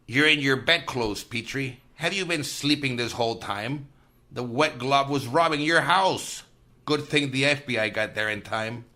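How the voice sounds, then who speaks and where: Man playing a forceful, scornful lawman. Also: mid-range voice, unhurried. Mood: brisk scornful. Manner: commanding, contemptuous. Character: tough, scornful lawman barking orders at criminals. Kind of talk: radio mystery acting.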